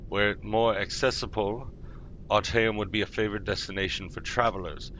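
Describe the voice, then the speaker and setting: A man reading fantasy lore aloud in a measured, formal manner, correcting himself politely, as part of a roleplay. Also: lowish voice, fairly even intonation, a bit bright, a little hiss or hum, rough recording. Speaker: live roleplay streamer.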